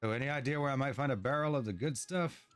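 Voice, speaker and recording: A man's voice: mid-range voice, fast talker, fairly bright tone, faint music behind, close to an everyday voice. A man voicing an eager, awkward, proud young dwarf inventor in a fantasy game, with hesitant, folksy delivery. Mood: scheming, eager. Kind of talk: storybook-like game dialogue read aloud.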